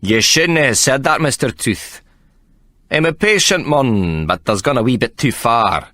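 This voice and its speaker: A man's voice: mid-range voice, animated, a little slow, pushed and bright, no room sound, voice pushed up. A man doing a growling Scottish brute. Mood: menacing. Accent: put-on Scottish. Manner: growling, threatening. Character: brutal scarred Scottish prize-fighter, growling, menacing.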